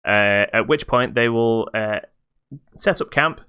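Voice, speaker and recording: A man's voice: medium pitch, takes it slowly, sharp tone, clear, resonant tone. A British man hosting a podcast, casually reviewing a video game in a hesitant, stop-start way.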